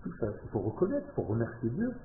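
A man from Israel, speaking French as a learned language and giving a passionate religious lesson to a small group, shifting from quiet talk to loud exclamations. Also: mid-range voice, huge pitch swings, fast, a little hiss or hum. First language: Hebrew. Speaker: religious teacher. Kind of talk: Torah lesson to a live group. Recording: tinny phone-like echoey room.

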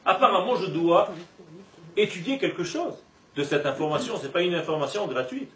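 A man with a high-pitched voice gives an animated religious class. He leans on rhetorical questions and stretches words for emphasis. The recording is roomy and echoing, picked up from a distance with faint noise. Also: normal speed. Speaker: religious teacher giving a Torah class. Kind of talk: lively spoken lesson to a live group.

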